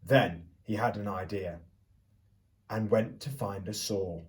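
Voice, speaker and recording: A man reading a picture book aloud to young children as a teacher would, theatrically, pausing to put questions to them. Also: on the low side, recorded from a distance. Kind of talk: expressive children's storybook reading with questions to listeners.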